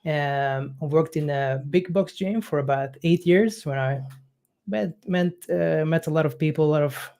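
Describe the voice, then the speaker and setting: A man's voice: fairly high pitch, a little mellow. Guy coach, young, lots of ums and uhs, casual chat. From Hungary. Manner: halting, many fillers, non-native phrasing.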